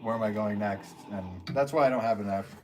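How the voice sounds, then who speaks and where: Low-ish guy rambling on a pottery livestream, lots of ums, steady hum of noise behind him. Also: a little fast. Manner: rambling, chatty, laughs often, many ums. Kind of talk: casual livestream chat reading comments. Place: pottery studio.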